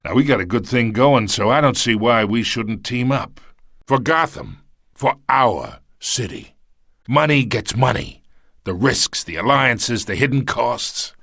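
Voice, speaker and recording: An actor playing a smug, menacing middle-aged crime boss in a video game, with a streetwise, slangy, taunting delivery. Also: male voice, mid-range voice, expressive, measured pace, creaky, a bit bright, dry recording, clean studio sound, full character voice. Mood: coolly threatening.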